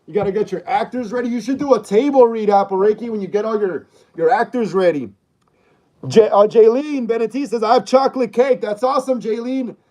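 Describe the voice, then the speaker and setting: A man in his thirties with a fairly high yet mellow voice, hosting a laid-back livestream. He reads out viewer comments and answers them in a repetitive, slangy way. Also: dull audio. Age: 30s. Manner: chatty and repetitive, uses slang like bro and dog. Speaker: livestream host. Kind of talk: casual live-stream chat reading viewer comments.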